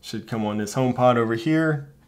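A man's voice: medium pitch, a little fast. A man in his thirties with an American accent, talking informally and thinking aloud as he hosts a tech tutorial. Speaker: tutorial host. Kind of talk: casual tech tutorial talk. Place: small indoor home studio.

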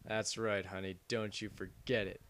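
A man's voice: lowish voice, little pitch movement, normal speed, a bit bright, slight background noise, lightly acted. A man voicing a cocky, crude, reluctant adventure hero in an amateur parody game dub, with casual comic delivery. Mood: smug, seductive.

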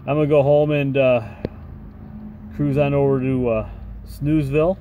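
A man's voice: medium pitch, little pitch movement, normal speed, mellow, a little hiss or hum. A man in his forties, a truck driver vlogging in a casual, wry and grumbling way from his cab, tired after a long shift. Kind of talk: casual vlog chat. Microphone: camera at arm's length.

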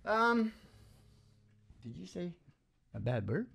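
A man's voice: high voice, very animated, slow pace, creaky, a bit bright. An older man hosting a music show, chatting casually and telling rambling, jokey stories. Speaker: musician and radio show host. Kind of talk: casual joking banter between songs. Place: small wood-panelled room. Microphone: stand microphone up close. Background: acoustic guitar.

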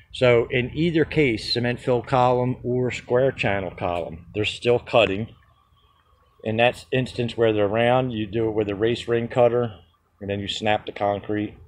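Man, low voice, practical contractor talk, step by step, outdoors on a handheld phone, faint background noise. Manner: practical, explains step by step with fillers. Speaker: contractor showing a fabrication method. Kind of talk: casual how-to walkthrough. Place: backyard, outdoors.

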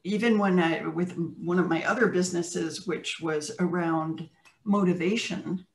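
Older American woman, roomy distant webcam. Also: on the low side. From United States. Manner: measured, thoughtful, with pauses and restarts. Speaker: interview guest. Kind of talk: reflective storytelling on a video call. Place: home room with plants, indoors. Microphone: video call webcam. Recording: roomy video-call audio from a distant mic.

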